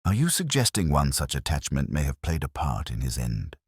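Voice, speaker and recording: A man voicing a tranquil, shrewd police inspector in an audiobook mystery, speaking gently and with measured kindness. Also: very deep voice, huge pitch swings, slightly rough, no room sound, clean studio sound, exaggerated voice. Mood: calm.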